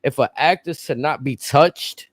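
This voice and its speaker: A man's voice: medium pitch, unhurried, no echo. A man in casual podcast chat, blunt and profane, arguing his points. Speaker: podcast co-host.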